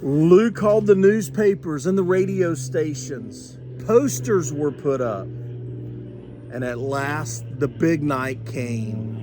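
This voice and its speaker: An older man reading a children's storybook aloud with expressive, deliberate phrasing. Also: on the high side, background noise. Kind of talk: animated children's storybook narration.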